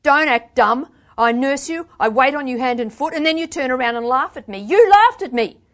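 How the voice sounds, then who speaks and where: A woman's voice: medium pitch, animated, fairly quick, a bit bright, voice pushed up, lightly acted. A livid woman carer performed by a woman reader in a storybook reading, her voice pushed well up from a normal register. She is blunt and accusing, confrontational and at the end of her patience. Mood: furious, scathing. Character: furious, exhausted woman carer, scathing and confrontational. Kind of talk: dramatic book reading.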